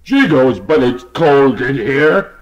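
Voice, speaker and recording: A man's voice: mid-range voice, expressive, slow, muffled recording. A man voicing a kindly male horse who sounds stuffy and frozen in a storybook. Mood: chilly. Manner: stuffy, chilled, gentle.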